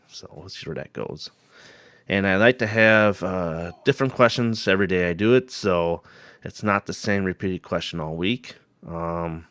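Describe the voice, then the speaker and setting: A man hosting a paranormal live stream, hoarse from a cold, rambling casually and greeting viewers by name. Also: deep voice, a bit bright, no room sound.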